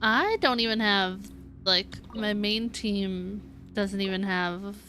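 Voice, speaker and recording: A woman's voice: medium pitch, slow, bright, forceful tone, a little hiss or hum. A woman game streamer chatting casually, musing aloud and indecisively to her viewers. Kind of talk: casual livestream chat.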